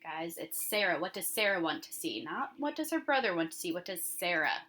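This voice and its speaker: A woman's voice: fairly low pitch, very animated, fairly quick, pushed and bright. A woman speaking as an elementary school teacher, reading comprehension questions aloud and addressing children directly with instructive emphasis on key words.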